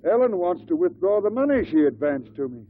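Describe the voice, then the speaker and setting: An actor playing a bitter, short-tempered husband, curt and sarcastic, in a 1950s radio drama. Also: male voice, high-pitched, big pitch swings, brisk. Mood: testy, bitter. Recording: tinny, noisy old radio recording.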